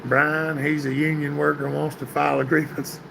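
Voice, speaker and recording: A man, hosting a casual live stream and answering viewers by name, his voice getting hoarse and stuffy. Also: on the high side, flat delivery, normal speed, background noise. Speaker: livestream host reading chat. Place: indoor workshop room, sitting at a metal table.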